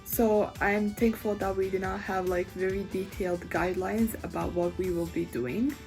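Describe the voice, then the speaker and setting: A woman's voice: mid-range voice, fairly even intonation, moderate pace, music in the background. Chatty young woman. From Sarajevo, Bosnia and Herzegovina. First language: Bosnian. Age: young adult. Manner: casual, chatty, with frequent fillers and restarts. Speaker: university student. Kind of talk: reflective video monologue.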